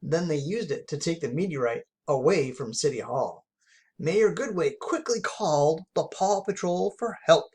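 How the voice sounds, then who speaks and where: Expressive storybook reader, mid-pitched voice. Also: moderate pace, breathy edge. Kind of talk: children's storybook read-aloud.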